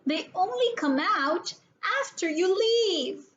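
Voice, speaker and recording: A woman's voice: very high voice, animated, slow pace, fairly bright tone, lo-fi sound, a little hiss or hum, pitched above the performer's own voice, full character voice. A woman voicing a frightened, stubborn little girl in a children's storybook, with a nervous, emphatic delivery. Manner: nervous, gulping, shrieking, emphatic. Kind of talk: children's storybook read-aloud.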